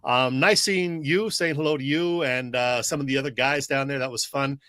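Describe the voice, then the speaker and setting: A man's voice: medium pitch, steady tone, moderate pace, sharp tone, no echo. A man co-hosting a sports podcast in a casual, chatty style. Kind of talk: casual chat.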